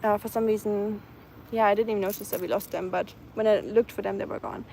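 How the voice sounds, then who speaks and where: A woman from Germany with a German accent, chatting casually and softly outdoors, with many ums. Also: medium pitch, steady tone, fast talker, creaky, a little hiss or hum. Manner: soft-spoken, chatty, many ums, laughs lightly. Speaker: vlogger. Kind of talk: casual live chat outdoors. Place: outdoors in the evening, nature sounds around.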